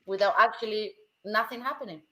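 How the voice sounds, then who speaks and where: A woman from Germany, co-hosting a casual discussion, speaking emphatically and slowing down to stress key words. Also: mid-range voice, a bit bright. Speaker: co-host. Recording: roomy video call audio.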